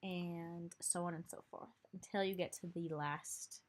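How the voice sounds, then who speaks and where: A woman's voice: fairly low pitch, steady tone, unhurried. A woman with a nasal voice from a cold, giving a casual step-by-step knitting tutorial, counting stitches aloud with many fillers. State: sick and nasal. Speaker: knitting instructor.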